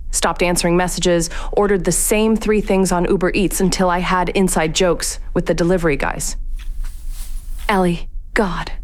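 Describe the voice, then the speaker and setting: A woman's voice: lowish voice, close to an everyday voice. A woman playing a guilt-haunted, grieving young woman in an audio drama, introspective, brooding and wry. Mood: anguished. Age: young adult. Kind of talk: audio drama acting, confessional narration.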